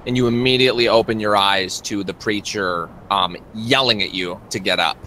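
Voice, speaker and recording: A man working as game master, narrating a tabletop roleplay casually with halting, improvised description. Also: on the low side, bright, forceful tone, a little hiss or hum, low-quality recording.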